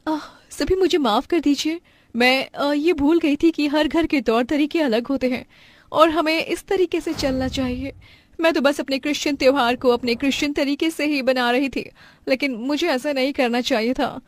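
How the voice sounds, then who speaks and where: A woman's voice: high voice, moderate pace, close to an everyday voice. A woman voicing a proud, modern young daughter-in-law with a huffy way of talking in a cartoon story. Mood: apologetic. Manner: huffy, complaining.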